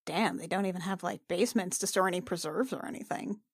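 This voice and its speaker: A woman's voice: fairly low pitch, quick pace, husky, dry recording, clean studio sound. A woman playing a tabletop game, talking informally and hesitantly as she thinks aloud and describes her character's actions. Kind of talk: casual improvised game talk.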